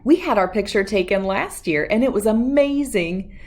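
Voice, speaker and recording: A woman's voice: mid-range voice, expressive, normal speed, darkish tone, lightly acted. Gushy squealing girl from a kids book, lady doing it, delighted, voice jumping around. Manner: gushing, squealing. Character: gushing, delighted girl friend, storybook.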